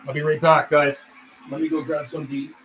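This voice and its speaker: A man's voice: a little high, level tone, a little fast, noisy background. A man hosting a CB radio hobby livestream, chatting casually in a rambling, folksy way and making radio calls.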